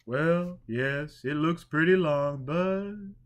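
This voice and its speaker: A charming young drunk in a storybook, acted out by a male narrator. He sounds befuddled, hesitant and plaintive, with the pitch raised. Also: slow pace.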